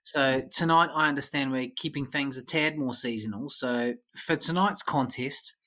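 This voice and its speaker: A man's voice: medium pitch, moderate pace, sharp tone. A man hosting a podcast, casually teasing his co-hosts, swearing, and introducing the segments. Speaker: podcast host and quizmaster. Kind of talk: casual chat.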